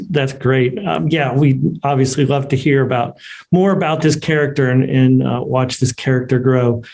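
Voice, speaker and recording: A man's voice: mid-range voice, darkish tone. A man hosting a casual question-and-answer session, wordy and informal, feeling his way through questions. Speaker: host and moderator.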